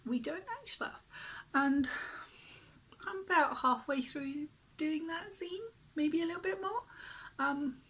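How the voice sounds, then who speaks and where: A person whose first language is Finnish, reading their own zine aloud in a casual, soft-spoken way that often drops to a half whisper. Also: female voice, high voice, slow, pauses often, rough recording. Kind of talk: casual reading at a virtual open mic.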